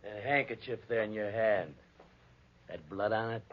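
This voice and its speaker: A man's voice: lowish voice, normal speed, natural voice. An actor playing a simple, obliging male handyman with a folksy, deferential manner in 1940s radio style. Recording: dull, noisy old radio recording.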